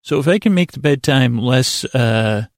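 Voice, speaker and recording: A man hosting a sleep podcast in a rambling, informal bedtime monologue, halting and self-deprecating, with a gravelly voice. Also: medium pitch, lively intonation, no echo, clean studio sound. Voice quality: gravelly, creaky.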